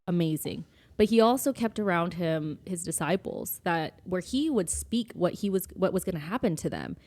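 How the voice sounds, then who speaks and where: Casting: female podcast co-host, slightly low voice, casual conversational delivery, informal and loosely worded. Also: expressive, normal speed, a little mellow. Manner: informal, tentative retelling peppered with "like" and "you know". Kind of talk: casual conversational discussion of faith.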